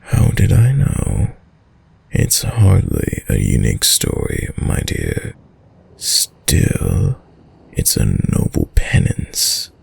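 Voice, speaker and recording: Man acting as a sadistic male vampire lord, audio roleplay, heavy gravelly fry, wildly swooping intonation, silky formal menace, teasing, dominant, seductive. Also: wildly swooping pitch, slow, darkish tone, no room sound, full character voice. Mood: condescending, amused. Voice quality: deep, breathy, gravelly. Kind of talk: intimate audio roleplay addressed to the listener.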